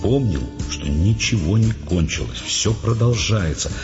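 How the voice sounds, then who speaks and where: A man narrating a literary audio play in Russian with measured, suspenseful storytelling. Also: fairly low pitch, animated. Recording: muffled studio track with music behind. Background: prominent music.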